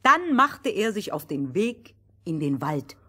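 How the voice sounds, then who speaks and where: A woman's voice: fairly low pitch, big pitch swings, takes it slowly, sharp tone, breathy edge. A woman storyteller delivering scripted fairy-tale narration in a conversational exchange.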